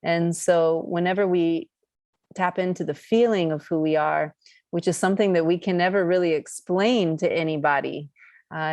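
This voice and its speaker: A woman with a somewhat low voice gives an earnest, reflective spiritual talk, often pausing and restarting as she searches for the right words. She grew up in Greece. The sound is close and dry, with no room echo. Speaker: guest speaker.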